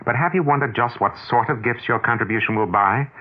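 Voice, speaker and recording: A man's voice: medium pitch, fairly quick. An American man reading a scripted radio charity appeal in an earnest, direct manner. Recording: muffled 1970s radio broadcast.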